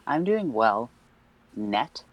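A young man co-hosts a relaxed podcast at a middle pitch. He rambles and hedges with lots of fillers and laughs a little nervously. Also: drawn-out words, highs cut off. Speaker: podcast co-host. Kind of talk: casual podcast chat.

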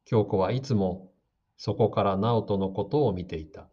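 A man giving slow, calm, scripted narration for a radio drama meant for learners. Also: on the low side, breathy edge. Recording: dull lo-fi audio.

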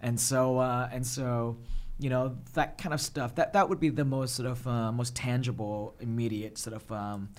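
A man's voice: mid-range voice, steady tone, normal speed. A man, born in Malaysia and raised in Australia, answering interview questions thoughtfully, with many fillers and restarts. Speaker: guest filmmaker. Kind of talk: casual interview.

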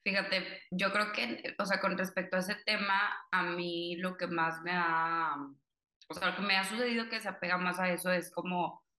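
Young woman, bright low-pitched voice, rambling casual chat, echoey room. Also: little pitch movement, moderate pace. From Cuba, raised in Monterrey, Mexico. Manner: rambling, filler-heavy, reflective. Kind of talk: casual conversation.

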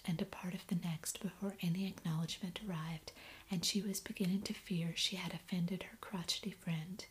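A woman narrates a classic novel entirely in a whisper, in ASMR style. Her whispered voice sits low and steady. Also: poor audio. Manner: whispering throughout. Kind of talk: whispered ASMR audiobook reading.

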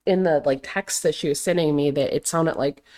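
A young adult woman hosting a casual solo podcast, talking loosely with lots of fillers, her voice going a little raspy. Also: low-pitched, fairly quick, dark tone. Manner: rambling, heavy on fillers and "like". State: slightly raspy voice. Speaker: podcast host.

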